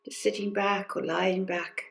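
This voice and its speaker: A woman leading a guided meditation as a teacher, speaking gently and unhurriedly in short phrases with pauses and soft invitations. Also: medium pitch, flat delivery, creaky, slight background noise. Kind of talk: guided body scan meditation. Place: recorded during an online session.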